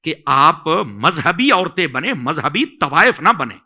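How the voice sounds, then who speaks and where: Very bright, animated Pakistani man admonishing. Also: fairly high pitch, a little slow. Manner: emphatic, admonishing, with rhetorical questions. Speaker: Islamic scholar answering questions. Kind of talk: religious advice in conversation.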